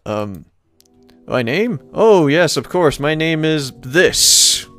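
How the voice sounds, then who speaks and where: A man with a lively, swinging pitch hosts a casual let's play. He rambles playfully, reacting aloud and reading from the game, with music playing behind him. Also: slow pace, no echo.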